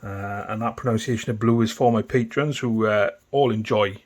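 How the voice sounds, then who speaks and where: A man with a thick Welsh accent talking through a painting tutorial in a relaxed, chatty way, with many fillers and restarts. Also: fairly low pitch, normal speed. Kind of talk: casual step-by-step tutorial narration.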